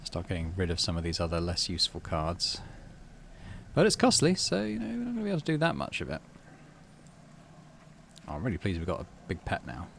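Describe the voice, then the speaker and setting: A man's voice: low-pitched, huge pitch swings, fairly quick, creaky, long pauses, a bit bright, slight background noise. A man giving casual playthrough commentary on a game, thinking aloud and reading its story text out. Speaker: gaming channel host.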